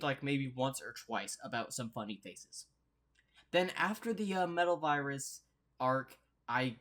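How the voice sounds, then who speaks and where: A man's voice: a little high, fairly bright tone. Casual, rambling man reacting to comics. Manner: rambling, easily amused. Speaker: reaction video host.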